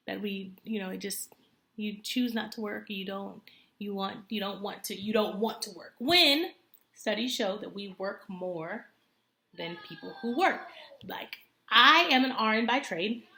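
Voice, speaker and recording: A woman's voice: mid-range voice, gravelly, pushed and bright. A woman in her thirties talking to camera in an earnest, chatty way, with many fillers and self-corrections. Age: 30s. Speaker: homemaker vlogger. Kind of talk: casual talk to camera. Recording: echoey room.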